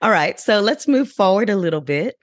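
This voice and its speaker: A woman's voice: mid-range voice, lively intonation, fairly quick, no room sound, studio quality. A woman hosting a podcast, coaching and interviewing in a conversational style. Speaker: podcast host, business English coach.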